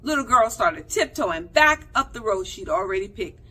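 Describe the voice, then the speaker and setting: A woman telling a children's story in an expressive, dramatic and deliberate way. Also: medium pitch. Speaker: storyteller, librarian.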